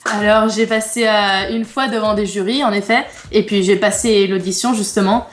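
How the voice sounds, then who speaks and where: A woman's voice: medium pitch, steady tone, normal speed, soft background music. A bilingual French-Italian teenage girl from France, giving short, plain answers as a guest singer. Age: teens. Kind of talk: interview answers.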